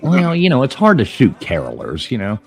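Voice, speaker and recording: A man's voice: mid-range voice, huge pitch swings, moderate pace, faint music behind. A man hosting a casual livestream chat from a pub, chatty and rambling, laughing often. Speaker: livestream host. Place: Irish pub.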